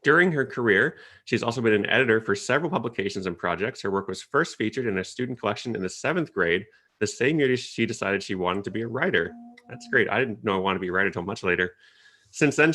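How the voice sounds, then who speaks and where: A man from Canada, moderating an online panel in a relaxed, chatty way with hesitant fillers, over a video call. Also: lowish voice, fast talker. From Ottawa, Canada.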